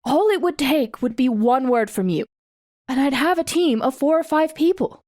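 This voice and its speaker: A woman's voice: high voice, expressive, moderate pace, a little mellow, no echo, clean studio sound. A woman playing a guarded, determined young mother in an audiobook drama, speaking with restraint and firmness. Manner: restrained, firm. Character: guarded, determined super-powered mother standing up to ex-husband. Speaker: audiobook voice actor.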